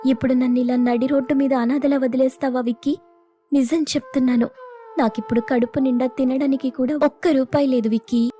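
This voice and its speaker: Woman acting a pleading, high-voiced young sister. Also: level tone, brisk, warm tone, soft background music, natural voice. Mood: desperate pleading. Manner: pleading, self-reproaching. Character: remorseful, desperate young city-bred younger sister. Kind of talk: dramatised moral story acting.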